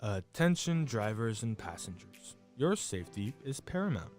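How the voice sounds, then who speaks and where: A man's voice: on the low side, big pitch swings, normal speed, darkish tone, soft background music. A man reading a scripted safety announcement in the style of a public service spot.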